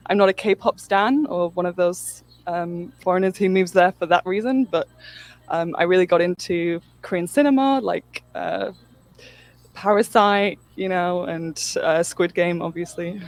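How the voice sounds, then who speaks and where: A woman answering an interview in a relaxed, hesitant way with many fillers. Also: on the low side, unhurried, no room sound. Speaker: interviewee, English teacher.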